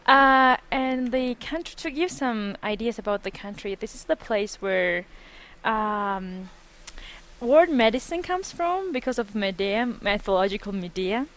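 Young Georgian-accented woman, earnest and halting. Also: on the high side, lively intonation, fairly bright tone. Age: 20s. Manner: earnest, halting with many fillers and restarts. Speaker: student guest. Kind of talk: radio interview.

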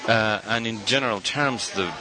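A middle-aged man from Argentina, a guest artist in a radio interview, speaking thoughtfully with frequent pauses and fillers. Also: lowish voice, crowd noise. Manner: thoughtful, hesitant with many fillers.

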